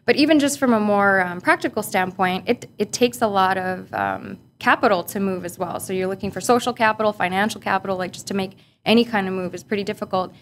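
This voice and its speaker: A woman from Barbados speaks in a medium-pitched voice, reflective and relaxed, often pausing on fillers. She laughs easily as she talks through her work. A faint rumble sits under the recording. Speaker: guest, policy analyst. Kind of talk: casual interview conversation. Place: office boardroom.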